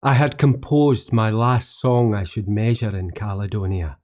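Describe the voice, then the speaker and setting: An actor playing a wry, roguish young Scottish poet, telling his own life in literary, measured monologue for a radio play. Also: lowish voice, fairly even intonation, a little mellow, dull audio, natural voice. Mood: sombre, resigned. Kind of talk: first-person radio play monologue with folk songs.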